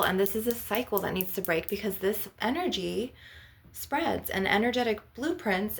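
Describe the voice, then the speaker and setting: A woman with a creaky voice speaking as a spiritual healer in an ASMR session, earnest and instructive in a conversational way. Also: medium pitch. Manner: earnest, instructive, often adding "you know" and "okay". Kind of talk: spiritual ASMR healing session with rambling talk.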